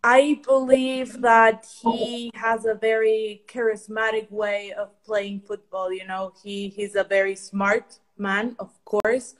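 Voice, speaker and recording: Guest: woman from Ecuador, football consultant; measured, hesitant delivery with restarts. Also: fairly high pitch, level tone, rough recording. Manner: thoughtful, many ums and restarts. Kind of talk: interview answers.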